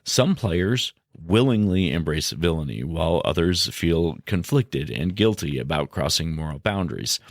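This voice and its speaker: Male podcast host, extremely low voice with creaky edge; measured, formal explainer delivery. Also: dry recording, studio quality. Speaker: game master. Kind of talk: scripted explanatory podcast narration.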